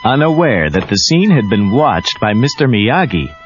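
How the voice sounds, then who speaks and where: Lively male storybook narrator on cassette. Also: medium pitch, moderate pace. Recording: dull cassette tape with music.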